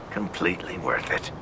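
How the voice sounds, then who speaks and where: A man's voice: low-pitched, gravelly, background noise, heavily acted. A man playing a wry, loyal rogue storyteller in a video game, sardonic and casual with a theatrical streak. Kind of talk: video game character acting.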